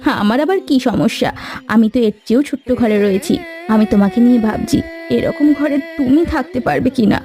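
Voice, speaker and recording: A woman voicing a gentle, dutiful young wife in a fairy-tale cartoon. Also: on the high side, fairly quick, lightly acted. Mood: gentle concern.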